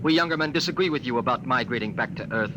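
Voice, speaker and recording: A man's voice: medium pitch, expressive, quick pace, lightly acted. An actor playing a skeptical, dutiful young man on a spaceship crew in formal, earnest 1950s radio drama style. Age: young adult. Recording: noisy vintage 1950s radio recording.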